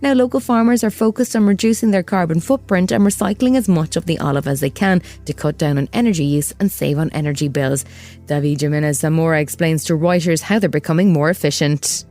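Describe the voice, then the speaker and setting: A woman's voice: low voice, brisk, mellow, faint music behind. A woman presenting a podcast with scripted news narration.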